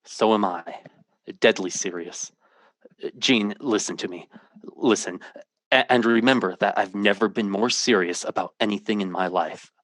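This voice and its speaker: A man's voice: on the low side, normal speed, fairly bright tone, no echo, lightly acted. A man playing an earnest, troubled, intense hero in an old-time radio drama. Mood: deadly serious. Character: earnest, troubled man telling a fantastic story.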